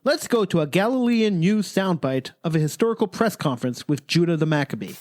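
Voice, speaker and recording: Brisk newscaster for radio drama, played by a man, animated intonation, slightly high male pitch. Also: close to an everyday voice. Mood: brisk, announcing. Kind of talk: radio news reenactment.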